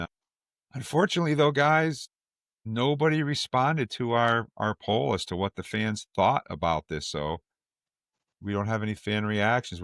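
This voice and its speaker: A man speaks as a podcast host in casual fan discussion. His voice sits fairly low and he is enthusiastic, doubling words for emphasis. The recording is close and dry. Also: a bit bright, no room sound.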